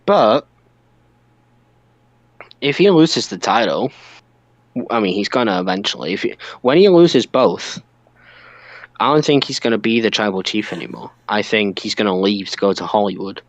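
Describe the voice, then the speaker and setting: A man's voice: lowish voice, animated, normal speed, pauses often, no room sound. A man co-hosting a podcast, chatting casually about wrestling. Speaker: podcast co-host.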